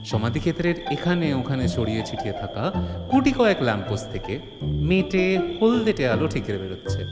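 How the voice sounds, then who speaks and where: A man delivers dramatic scripted narration in an animated, slightly high voice. He sounds theatrical and wryly colloquial, with reverberant sound and music playing behind him. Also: fairly quick.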